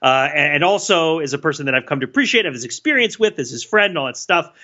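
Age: adult. Sex: man. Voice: bright, fairly high. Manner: rambling podcast co-host. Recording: dull, lo-fi. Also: lively intonation, fast. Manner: rambling, many restarts and laughs. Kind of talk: casual analytical discussion. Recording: dull lo-fi sound.

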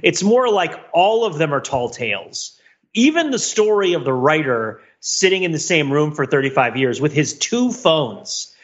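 A man's voice: a little high, very animated, normal speed, lo-fi sound. A man co-hosting a podcast, discussing film analytically in a wordy, thinking-aloud way, often repeating himself and checking in with "right?". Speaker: podcast co-host.

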